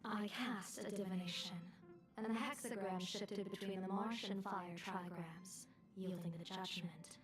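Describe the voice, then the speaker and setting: An actress playing a calm, resolute fortune-telling strategist in a game, speaking in measured, formal lines. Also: female voice, mid-range voice, recorded from a distance, soft background music, lightly acted. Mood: measured, grave. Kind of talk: scripted game dialogue.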